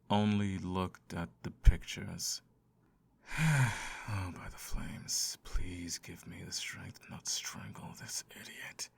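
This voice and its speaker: A man's voice: sits low, gravelly, full character voice. A man voicing a sardonic, seductive male incubus in an ASMR roleplay, theatrical and dryly sarcastic. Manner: theatrical, sighing, dry sarcasm.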